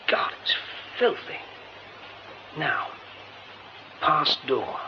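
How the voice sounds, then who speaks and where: An actor playing a young man poking around a derelict theatre, muttering to himself as he goes and crying out for help when he falls into a pit beneath the stage. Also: fairly high pitch. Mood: musing. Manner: muttering to himself, then panicked shouting. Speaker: radio drama lead. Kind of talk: radio drama acting. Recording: muffled old radio play with hiss.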